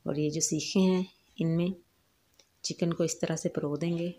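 A woman hosting a home cooking channel, calmly explaining each step of a recipe in a voice-over. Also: sits low, a little slow, very noisy. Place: home kitchen.

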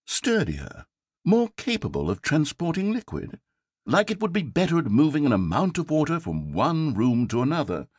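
Wry video game narrator, sarcastic, grandly dramatic, very lively pitch, husky edge. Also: male voice, normal speed, full character voice. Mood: flustered. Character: wry, theatrical male narrator of a game, adult.

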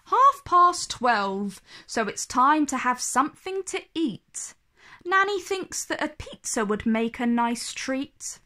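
A woman narrating a children's storybook aloud with expressive, deliberate phrasing. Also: sits high.

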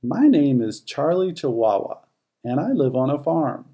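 A man narrates a children's picture book in rhyme. His voice is warm and relaxed with some creak at the edges. He takes his time, letting the pitch rise and fall expressively through each verse. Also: a little slow. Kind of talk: read-aloud rhyming picture book narration.

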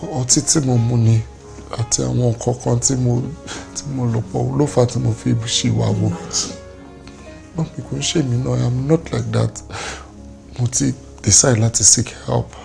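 A man's voice: medium pitch, steady tone, normal speed, gravelly, warm tone, soft background music. An actor playing a remorseful husband who begs forgiveness from his wife and her mother. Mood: begging. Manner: pleading, apologetic, deferential. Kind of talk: Nollywood drama acting.

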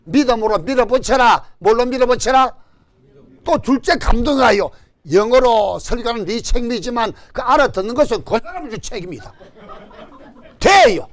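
An older man with a Gyeongsang accent preaching an animated sermon at a stand mic on a church stage, calling for amens and joking with the congregation. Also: very high voice, normal speed. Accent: Gyeongsang Korean. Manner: animated preaching with call-and-response and jokes. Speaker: pastor. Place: church stage before a banner. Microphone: stand mic in front.

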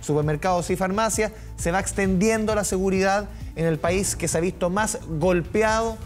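A man anchors live television news in a high, light voice. He talks conversationally and circles back over his points as he goes. Faint music sits under the studio sound. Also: moderate pace. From Chile. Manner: conversational, repeats and restates points.

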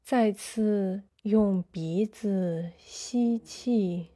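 A woman guides a sleep meditation with a dark, relaxed tone and a medium pitch. Her delivery is extremely unhurried, in short, measured phrases with space between them. The manner is soothing throughout. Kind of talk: slow sleep meditation narration.

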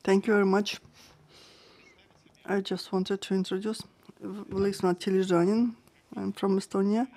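A woman interpreting a panel discussion live, halting and searching for words with frequent restarts. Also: medium pitch, flat delivery, dark tone.

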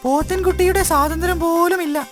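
Cartoon baby elephant boy, sulky, grumbling, very high voice. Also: fairly even intonation, fast. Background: loud children's music.

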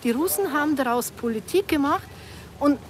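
A woman in her forties from Ukraine with a Ukrainian accent, hoarse, speaking earnestly in slightly broken German in a street interview. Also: high-pitched, lively intonation, fairly quick. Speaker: counter-protester. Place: small town street outdoors. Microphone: phone camera at close range. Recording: loud street noise outdoors.

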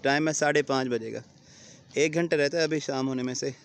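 A man's voice: medium pitch, brisk, gravelly. A Pakistani man in his thirties with a Punjabi-region Urdu accent, talking to viewers in a casual village vlog while he walks outside with children and films himself on a phone. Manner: cheerful, chatty, often laughing and coaxing children. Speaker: village vlogger. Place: outdoors on a rural road by fields. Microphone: phone camera at arm's length.

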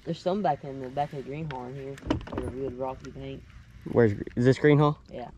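A teenage boy chatting excitedly while bass fishing from a boat outdoors, recorded on a head-mounted camera. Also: a little fast, background noise. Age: teens. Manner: excited, laughing, short remarks. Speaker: youth angler. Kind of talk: casual chat while fishing. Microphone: head-mounted action camera.

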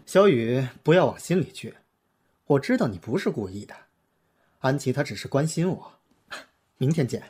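A man's voice: medium pitch, sing-song, normal speed, pauses often, a little mellow, close to an everyday voice. A man acting as an established pop singer in a radio drama, soothing and magnanimous in manner. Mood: reassuring. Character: established male pop singer, gentle and forgiving in public. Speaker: voice actor.

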